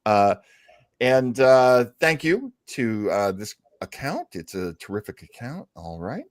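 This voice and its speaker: A man hosting a live show in casual, rambling, halting chat. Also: mid-range voice, sing-song, no echo, clean studio sound. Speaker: show host.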